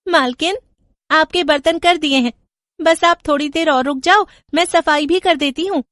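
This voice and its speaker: A woman's voice: high voice, moderate pace, fairly bright tone, no echo, studio quality, voice pushed up. A woman voicing an eerily knowing, servile housemaid in a horror story, deferential yet self-assured.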